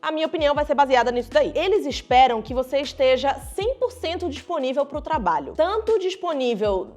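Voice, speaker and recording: A woman's voice: high-pitched, lively intonation, fairly quick, darkish tone, faint music behind. A woman from Brazil, speaking with a Brazilian Portuguese accent that leans toward European Portuguese. She talks casually to camera, saying "né" often and restarting phrases. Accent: Brazilian Portuguese, partly shifted toward European Portuguese. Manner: chatty, frequent "né" and restarts, emphatic rises. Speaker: vlogger.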